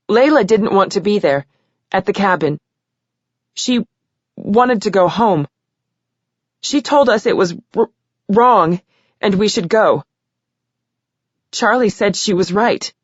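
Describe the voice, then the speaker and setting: A woman's voice: mid-range voice, breathy edge, no room sound, muffled recording, voice pushed up. A woman voicing a tearful, shaky teenage girl. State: crying. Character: tearful teenage girl giving courtroom statement.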